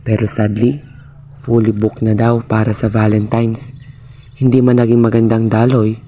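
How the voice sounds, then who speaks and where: A man's voice: fairly low pitch, monotone, moderate pace, mellow, natural voice. A young man with a Filipino accent playing a shy, insecure, lovestruck suitor in an amateur radio drama, speaking hesitantly. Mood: wistful, worried. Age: young adult. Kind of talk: amateur radio drama acting with narrated monologue.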